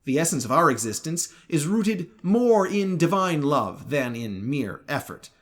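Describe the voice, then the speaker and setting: A man narrating a scripted religious commentary in a formal, measured way with precise enunciation. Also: on the high side, sing-song, a bit bright. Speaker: video essayist.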